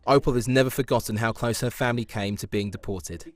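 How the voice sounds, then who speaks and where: Male news reporter, measured narration. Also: medium pitch. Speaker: reporter, interviewer. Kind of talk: news feature narration and interview.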